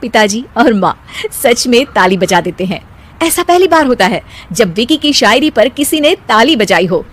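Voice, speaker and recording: A woman telling a children's cartoon story with scripted narration, her voice slightly high. She keeps an even, clear storyteller's delivery from scene to scene. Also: normal speed. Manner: clear, even storytelling that ends in a spoken moral.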